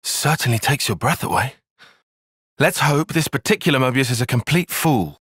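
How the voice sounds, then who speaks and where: Earnest young tactician, slightly husky. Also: male voice, medium pitch, lively intonation, fairly bright tone, no echo, clean studio sound. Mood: analytical, composed. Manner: precise, formal, measured phrasing. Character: young male soldier tactician, analytical, formal, earnest. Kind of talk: video game cutscene voice acting.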